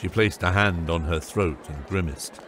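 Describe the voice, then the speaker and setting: A man's voice: low voice, moderate pace, a bit bright, slight background noise. A man narrating a scripted fantasy audiobook.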